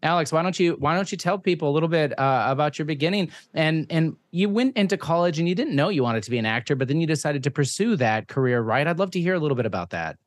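A man's voice: a little high, lively intonation, quick pace, hardly any pauses, no echo. A man hosting a podcast in a conversational interview style, rambling a little and correcting himself as he goes. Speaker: podcast host.

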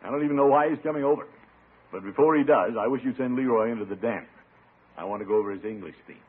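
An actor playing a fussy, pompous middle-aged American uncle, exasperated and blustering, in old radio comedy style. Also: male voice, medium pitch, fast talker, slightly rough, close to an everyday voice. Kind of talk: 1940s-50s radio comedy acting. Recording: muffled vintage radio broadcast recording.

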